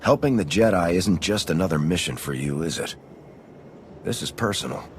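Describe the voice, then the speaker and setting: An actor playing a steady, reserved military commander in a game cutscene, speaking in a restrained, matter-of-fact way. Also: male voice, low-pitched, normal speed, slight background noise. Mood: steady, sincere. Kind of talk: scripted game cutscene dialogue.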